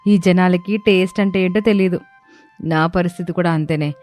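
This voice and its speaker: A woman's voice: fairly low pitch, fairly even intonation, moderate pace, darkish tone, close to an everyday voice. Young, proud, plaintive daughter-in-law played by a woman, storybook drama, music underneath. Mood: indignant, complaining.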